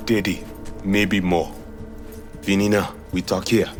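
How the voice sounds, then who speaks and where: An actor playing a curt, imposing gang enforcer with a Haitian accent, speaking clipped broken English in a video game. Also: male voice, low voice, level tone, slow pace, faint music behind. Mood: grim.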